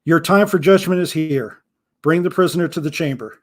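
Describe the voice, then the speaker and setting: A man's voice: a little high, normal speed, lightly acted. A man voicing a cruel, stern male prison guard captain who barks clipped orders.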